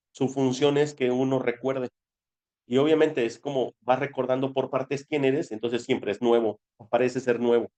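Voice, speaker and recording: A man's voice: medium pitch, a little mellow. Conversational Mexican man explaining things. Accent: Mexican Spanish. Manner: conversational, asks rhetorical questions and answers them. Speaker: spiritual study teacher. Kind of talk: informal explanatory spiritual talk.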